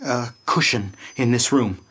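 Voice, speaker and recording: An actor in an audio drama playing an earnest young man in his twenties, easily flustered and halting. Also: mid-range voice, a little hiss or hum. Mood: anxious, tender. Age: 20s. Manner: halting, excitable.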